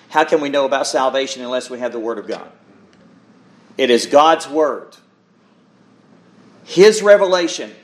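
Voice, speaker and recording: Earnest male preacher delivering an emphatic sermon. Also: mid-range voice. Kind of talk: sermon with scripture reading.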